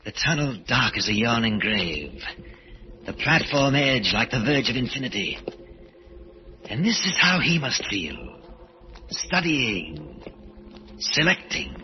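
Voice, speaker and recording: A menacing killer who thinks he is Death. He speaks slowly and theatrically in a brooding radio-horror soliloquy. The old recording is dull, with music clearly behind him. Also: mid-range voice. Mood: brooding menace.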